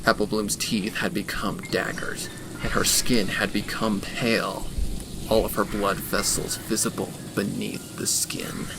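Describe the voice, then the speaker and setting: A man narrating a dark story from a script in a dramatic style. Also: on the low side, animated, measured pace, gravelly, noisy background. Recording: music behind the voice.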